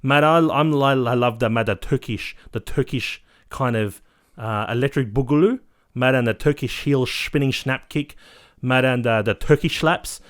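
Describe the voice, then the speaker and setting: A man's voice: medium pitch, moderate pace, natural voice. Guy doing a cocky vain ladies' man bit, brash, "mate" every other word. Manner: brash, cuts in, says "mate" nearly every line. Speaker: comedic character guest.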